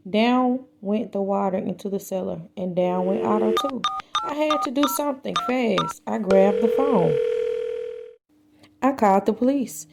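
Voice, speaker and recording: A woman reading a children's book aloud as an expressive storyteller, with deliberate, dramatic emphasis. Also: mid-range voice, vocal fry, faint music behind.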